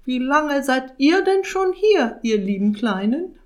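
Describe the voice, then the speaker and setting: A woman voicing a sly, suspicious witch with a shrill, sugary-sharp manner in a storybook reading. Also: sing-song, unhurried. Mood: falsely sweet.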